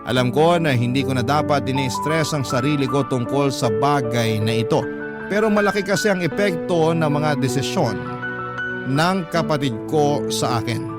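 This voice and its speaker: A man's voice: mid-range voice, moderate pace. A man reading a listener's letter as a radio host, in a dramatic storytelling style. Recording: loud music bed behind the voice. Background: loud background music.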